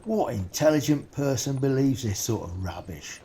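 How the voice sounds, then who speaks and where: An actor playing a gruff, self-important Scotland Yard policeman in a period audio drama, irritable and sceptical. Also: medium pitch, animated, moderate pace, warm tone, slight background noise, lightly acted. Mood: scornful. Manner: irritable, scoffing.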